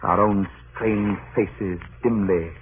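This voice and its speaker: An actor playing a stern, resolute freighter captain with formal diction in a 1930s radio drama. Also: male voice, lowish voice, steady tone, slow, slight background noise. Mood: solemn recollection. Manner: formal, clipped, commanding. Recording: narrow-band 1930s radio recording.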